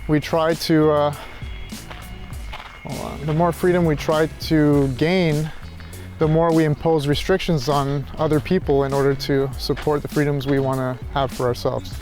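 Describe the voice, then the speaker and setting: Male walk-and-talk vlogger, slightly raised pitch, reflective and halting, casual delivery, light music underneath. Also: little pitch movement, a little mellow. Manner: reflective, halting, thinking aloud. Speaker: philosophy tutor vlogger. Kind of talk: casual rambling monologue while walking. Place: outdoors, walking.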